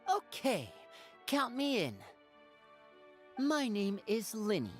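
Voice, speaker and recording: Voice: medium pitch, big pitch swings, slow pace, faint music behind. An actor playing an easygoing, sly young male wanderer and trickster in a video game. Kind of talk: video game character acting.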